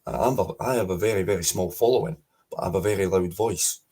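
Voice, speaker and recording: A Scottish man speaking forcefully as a guest in a political interview, repeating his points with emphasis. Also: deep voice, fast, breathy edge. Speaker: podcast guest.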